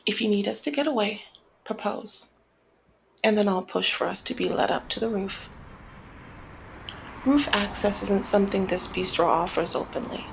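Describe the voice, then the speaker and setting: A woman's voice: medium pitch, normal speed, gravelly, pauses often, slight background noise, natural voice, poor audio. A woman playing a flirty, commanding female mob boss in an ASMR roleplay, talking in a teasing, coy and controlled way. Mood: teasing, sly. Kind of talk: ASMR roleplay, scripted one-sided dialogue.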